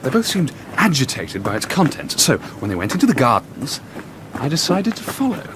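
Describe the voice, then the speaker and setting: An actor playing a very young, brilliant amateur detective in a period radio drama, blunt, insistent and facetious. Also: male voice, a little high, sing-song, brisk. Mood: wry, intent. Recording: noisy ambient sound behind dialogue.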